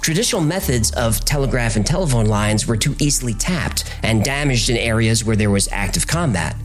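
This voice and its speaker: A man's voice: medium pitch, lively intonation, fairly quick, soft background music. A man narrating a scripted documentary podcast as its host, in a storytelling format.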